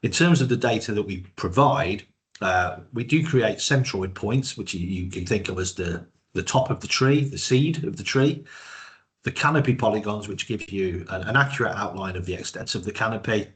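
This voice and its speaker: Croaky low-voiced man with a cold. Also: normal speed, a bit bright. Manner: hesitant, many repeated words. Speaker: presenter of a webinar. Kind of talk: webinar presentation.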